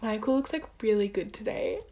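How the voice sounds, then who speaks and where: A woman's voice: medium pitch, expressive, brisk, mellow, close to an everyday voice. A woman playing an eager, smitten schoolgirl with a gushing manner in a comedy sketch.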